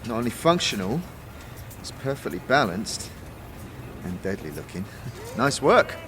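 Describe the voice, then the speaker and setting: A man voicing an easygoing young foundry craftsman in a fantasy audio drama, instructing in a measured, patient way. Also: on the low side, very animated, fairly bright tone, faint music behind. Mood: admiring.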